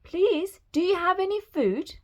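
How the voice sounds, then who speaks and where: Storybook boy hero: eager, excitable, polite, pitched high and bright-eyed played by a woman. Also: measured pace. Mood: polite, hungry.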